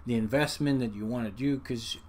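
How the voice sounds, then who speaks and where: A man's voice: medium pitch, a little mellow. A man talking off the cuff in a rambling, explanatory vlog style, often stopping to correct himself and restart his sentences. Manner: halting, frequent self-corrections and restarts. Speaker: vlogger, amateur radio hobbyist. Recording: noticeable background noise.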